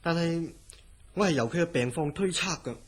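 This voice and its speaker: A man playing a composed, probing psychiatric hospital director in a horror radio drama, measured and authoritative. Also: on the high side, close to an everyday voice. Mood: musing, guarded.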